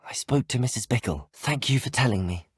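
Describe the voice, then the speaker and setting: A man's voice: mid-range voice, lively intonation, fairly quick, breathy edge, dry recording. A British man playing a polite, earnest, determined teenage student wizard in a video game, speaking articulate scripted dialogue. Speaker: video game player character.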